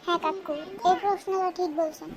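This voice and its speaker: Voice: extremely high pitch, steady tone, brisk, background noise. A performer voicing a cheeky, clever young boy in a cartoon, speaking in a teasing, playful way. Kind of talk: cartoon dubbing.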